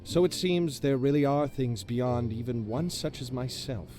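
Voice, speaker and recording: An actor playing a pompous, boastful self-styled hero in a video game, with grandiose, drawn-out delivery and insecurity underneath. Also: male voice, mid-range voice, darkish tone, faint music behind, close to an everyday voice. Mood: solemn, confiding. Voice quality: theatrical, exaggerated delivery. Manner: grandiose, mock-heroic, drawn-out emphatic phrasing.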